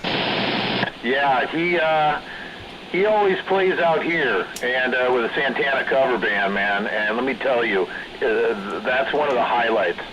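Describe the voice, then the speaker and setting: A middle-aged man chats casually over a CB radio with clear noise in the signal. His voice sits at a middle pitch and his phrasing is halting and hesitant. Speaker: CB radio operator. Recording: noisy CB radio transmission.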